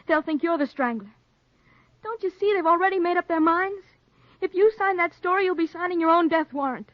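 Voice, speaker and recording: An American actress playing a reporter's anxious, shrewd sweetheart in a 1940s radio noir drama. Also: female voice, extremely high pitch, fairly quick, no room sound. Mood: anxious, firm. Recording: dry, muffled 1940s radio recording.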